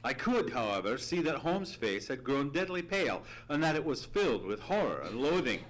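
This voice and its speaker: A man's voice: mid-range voice, sing-song, moderate pace, poor audio. A man playing an earnest, easily horrified doctor narrating an old-time radio mystery in formal, theatrical style. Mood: grave, horrified. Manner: theatrical, suspenseful, formal storytelling. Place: theatre stage, live radio play.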